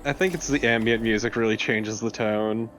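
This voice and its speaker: A man's voice: on the low side, steady tone, moderate pace, fairly bright tone, a little hiss or hum. A man co-commentating on a video game in casual, sardonic banter with plenty of swearing. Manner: sardonic, profane joking. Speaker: co-commentator on a let's play. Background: video game music.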